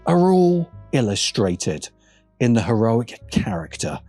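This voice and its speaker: A man narrating a scripted video essay in a deliberate, emphatic manner with pointed pauses. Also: medium pitch, big pitch swings, a little mellow, faint music behind.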